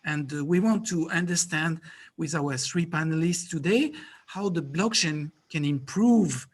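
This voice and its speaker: A man moderating a conference panel in a relaxed way, leaning on "you know" fillers. His voice sits slightly higher than average. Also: a little slow, husky.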